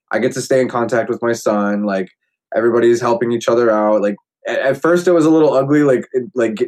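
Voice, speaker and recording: A low-voiced man in his late twenties talks easily in a relaxed conversation. He rambles, restarts often and leans on "like" between thoughts. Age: 20s. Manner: rambling, heavy use of "like". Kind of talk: casual chat.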